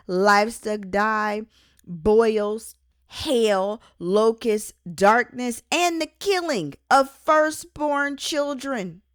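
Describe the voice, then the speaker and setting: Slow, deliberate woman reading inspirational teaching. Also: medium pitch, clear, resonant tone, no echo, studio quality. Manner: deliberate, emphatic phrasing with pauses. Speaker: author and host reading own book. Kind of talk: scripted inspirational audiobook-style reading with Bible teaching.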